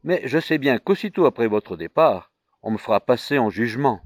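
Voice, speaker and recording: A man's voice: mid-range voice, expressive, normal speed, lightly acted. A man voicing a frightened young woman accused of a crime, reading her lines in a pleading, tearful manner. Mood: resigned.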